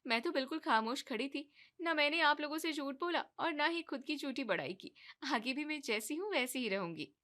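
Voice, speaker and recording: A cheeky young daughter-in-law from a cartoon tale, breezy and a bit high, talking back with easy confidence, as a woman plays her. Her voice is bright and crisp, with English words dropped into casual talk. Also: animated, fairly quick.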